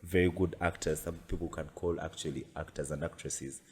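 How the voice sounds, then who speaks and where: Deep-voiced man, co-host, easygoing, agrees a lot. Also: fairly even intonation, fairly quick, a little mellow, husky. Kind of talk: casual chat.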